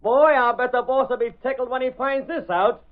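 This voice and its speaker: A man's voice: very high voice, moderate pace, background noise. A man playing a cheerful, breezy newspaperman with slangy, upbeat delivery in old-time radio drama style. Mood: pleased, breezy. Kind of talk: 1930s-40s radio acting. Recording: tinny, band-limited old radio recording.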